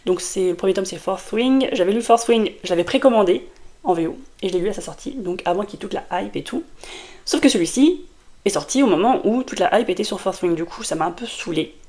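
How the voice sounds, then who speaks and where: A woman's voice: mid-range voice, expressive, fast talker, a little mellow. A woman reviewing a fantasy novel in a casual vlog, with a sore throat from a cold and a rambling, filler-heavy way of talking. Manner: chatty and rambling, many fillers and restarts. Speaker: book vlogger. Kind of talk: casual book review to camera.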